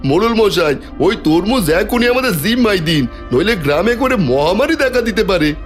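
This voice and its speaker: A scheming, envious villager, acted by a man in a cartoon folk tale. His fairly high voice is urgent and insinuating, with music playing behind it. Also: very animated, normal speed. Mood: accusing, urgent. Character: cunning, jealous scheming villager, adult man. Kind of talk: cartoon story dubbing.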